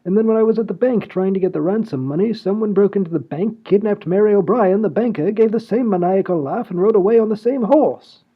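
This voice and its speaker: Man playing a fussy high-voiced lord, breathy, polite, radio play, dull lo-fi sound. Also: a little fast, hardly any pauses. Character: prim upper-class English lord, polite, fussy. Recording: dull lo-fi audio.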